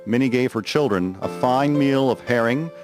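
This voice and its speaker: A man giving a solemn, measured scripted narration of a historical drama. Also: mid-range voice, music in the background.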